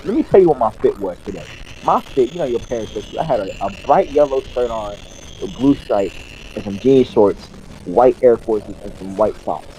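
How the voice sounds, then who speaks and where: A young man telling a casual story to friends in an animated, slangy, profane way, acting out the dialogue. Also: fairly low pitch, moderate pace, a little hiss or hum, low-quality recording. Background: video game music.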